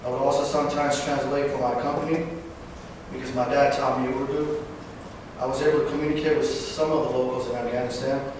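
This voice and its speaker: A man in his twenties from Northern California, a veteran giving a personal talk, halting at times with fillers and self-corrections. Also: medium pitch, level tone. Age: 20s. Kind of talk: personal speech read to an audience. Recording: distant, echoey hall recording with background noise.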